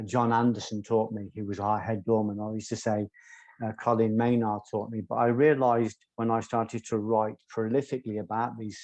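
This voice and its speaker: A soft-spoken man, a guest telling stories in an interview in a measured, articulate way. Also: on the low side, dry recording, poor audio. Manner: measured, articulate, occasional ums. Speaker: guest, former doorman. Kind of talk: reflective interview storytelling.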